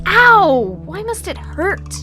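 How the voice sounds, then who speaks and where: A woman's voice: extremely high pitch, very animated, slow, music in the background, heavily acted. A woman playing a small, frustrated creature who complains in a whiny, exclaiming way in an audio drama.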